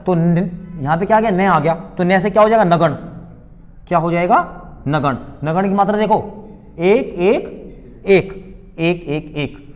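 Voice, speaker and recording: Male teacher, high voice, reverberant hall, call-and-response questioning, exam coaching. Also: measured pace, pauses often, faint music behind. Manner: drills students with repeated questions and call-and-response answers; addresses students fondly as beta, bachche. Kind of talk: exam-prep classroom lesson.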